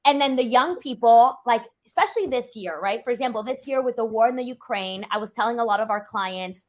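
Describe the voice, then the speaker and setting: Young woman from Europe, financial planner; casual talker, fast and fillers-heavy, a bit high-pitched. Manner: quick, chatty, many "like" fillers. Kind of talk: casual interview chat.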